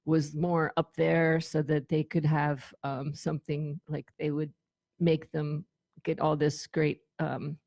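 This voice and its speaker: A woman talking casually as an interview guest, telling anecdotes in an animated, conversational way and acting out bits of dialogue. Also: low voice, slow, no room sound. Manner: animated storytelling, quotes imagined dialogue. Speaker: radio show guest, former tour manager.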